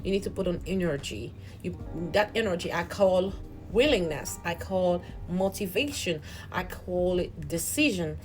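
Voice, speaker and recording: A woman's voice: lowish voice, lively intonation, unhurried, soft background music, rough recording. A woman hosting a channel, giving an earnest, reflective spiritual motivational talk that often repeats and restarts words. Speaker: host.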